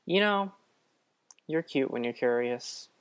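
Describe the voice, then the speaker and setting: Give this a smitten trickster fellow played by a man, teasing and grinning, lifted well above a low natural register. It is storybook radio-play dialogue, played with flirtation. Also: expressive, slow pace, clean tone. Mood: flirty, teasing. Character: smitten, grinning male trickster companion with fur.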